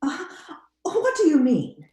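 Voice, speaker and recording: An actress playing a stately, probing married sister in an old-time radio drama. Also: female voice, high voice, wildly swooping pitch, takes it slowly, a little mellow, roomy sound, exaggerated voice. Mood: probing, uneasy.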